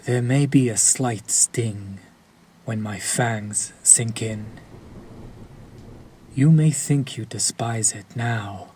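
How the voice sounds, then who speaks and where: A man voicing a sadistic, possessive vampire lord in an intimate audio roleplay, silkily commanding and deliberate, often dropping into a breathy whisper. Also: fairly low pitch, a little mellow. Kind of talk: intimate scripted audio roleplay addressed to the listener. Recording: clear background ambience noise.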